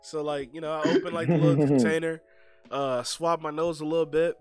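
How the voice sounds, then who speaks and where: A man's voice: fairly high pitch, steady tone, a little fast, loud music behind the voice. A young man hosting a casual podcast chat with a stuffy, sniffly nose, rambling laid-back and circling back to rephrase himself. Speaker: podcast host.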